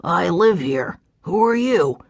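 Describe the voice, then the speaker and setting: A gruff, suspicious old man, put on by a woman reading a storybook. He speaks slowly and firmly, with a gravelly, husky edge to the voice. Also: lowish voice, expressive, exaggerated voice. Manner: firm, blunt, ominous.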